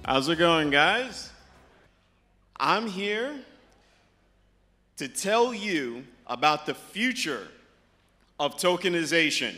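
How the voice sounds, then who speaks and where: High-voiced man giving a stage keynote. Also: a bit bright. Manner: upbeat, peppers talk with "all right". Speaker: conference keynote speaker. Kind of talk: presenting a tech keynote. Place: large conference stage. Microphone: handheld microphone. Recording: hall reverb from stage PA.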